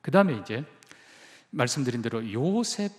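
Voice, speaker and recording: A man's voice: medium pitch, big pitch swings, moderate pace, darkish tone, in a large hall. A male pastor preaching a sermon, emphatic and putting questions to the congregation. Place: church sanctuary.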